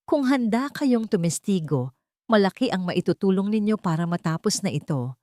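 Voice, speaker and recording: A woman's voice: medium pitch, expressive, moderate pace, warm tone, dry recording, clean studio sound, close to an everyday voice. A woman voicing a composed, formal male lawyer in an audio story.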